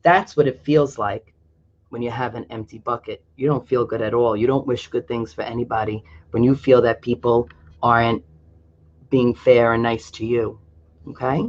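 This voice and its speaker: A man's voice: mid-range voice, fairly even intonation, normal speed. A man reading a picture book aloud to children, stopping now and then to explain things to his young listeners. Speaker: storyteller. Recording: dull lo-fi recording.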